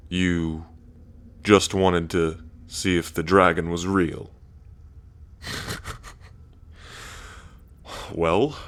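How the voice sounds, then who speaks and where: A man's voice: low-pitched, full character voice. A man playing an ancient dragon king in human form, smug and teasing, with a drawling, taunting delivery in a roleplay ASMR. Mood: teasing, interrogating.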